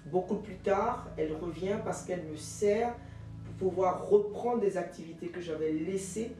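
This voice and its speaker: A woman in her forties from Ivory Coast, a guest telling her career story in an animated way, with many fillers and restarts. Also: lowish voice, normal speed, roomy sound, far from the mic, soft background music, low-quality recording. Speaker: guest, jurist and teacher. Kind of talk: interview answers.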